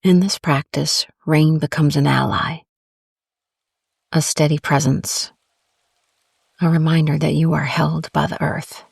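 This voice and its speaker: A woman narrates a guided meditation at a slow, restful pace. Her delivery is gentle and calm, with pauses between phrases. The sound is dry and intimate, with little room around the voice. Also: sits low, gravelly, slightly rough, dry recording.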